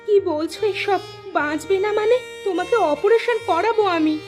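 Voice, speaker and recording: High-pitched emotional village wife, acted by a woman. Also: moderate pace, darkish tone, soft background music. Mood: distressed. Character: emotional village wife, devoted to her husband. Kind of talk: Bangla cartoon dialogue acting.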